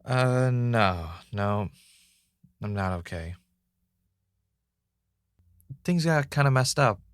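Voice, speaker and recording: A man playing a brooding, paranoid podcaster in an audio drama, speaking in a halting, introspective, confessional way. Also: mid-range voice, expressive, smooth, dry recording. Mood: anxious, pleading. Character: brooding, paranoid male podcaster searching for answers. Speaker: podcast host character and narrator. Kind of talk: audio drama monologue and dialogue.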